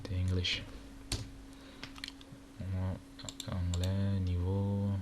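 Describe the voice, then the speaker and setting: A young man from Chad whose first language is Arabic, casually talking through a screen-recorded walkthrough with many pauses and fillers. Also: sits low, completely flat delivery. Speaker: tutorial presenter. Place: indoor room at a computer. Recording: noisy home recording with keyboard clicks.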